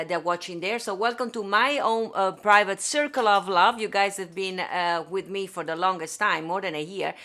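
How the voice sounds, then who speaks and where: A woman's voice: medium pitch, bright, forceful tone. A woman from Venice with an Italian accent, hosting a casual livestream and greeting viewers effusively. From Italy (Venice). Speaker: singer and livestream host. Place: home studio.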